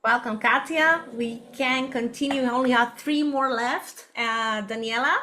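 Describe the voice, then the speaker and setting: A woman moderating introductions on a video call, calling on participants in a brisk, friendly way. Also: a little high, bright, forceful tone. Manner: brisk, friendly turn-taking. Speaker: session moderator.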